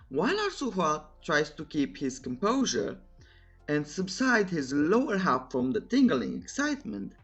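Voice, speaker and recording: A narrator reading a scripted comic recap in a playful, teasing, theatrical way, with humorous asides. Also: medium pitch.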